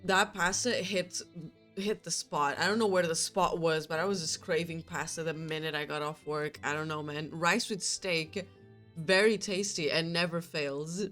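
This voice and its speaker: A woman's voice: deep voice, steady tone, normal speed, a bit bright, faint music behind. A young woman streamer chatting casually with her viewers, her nose stuffy from a cold, rambling and laughing often. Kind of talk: casual chat with live chat.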